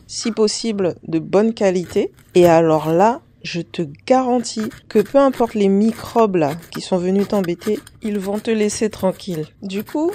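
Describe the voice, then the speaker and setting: A woman's voice: medium pitch, dark tone, slight background noise. A woman vlogger casually talking the viewer through a homemade remedy, chatting to them directly like a friend. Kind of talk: casual how-to narration to camera.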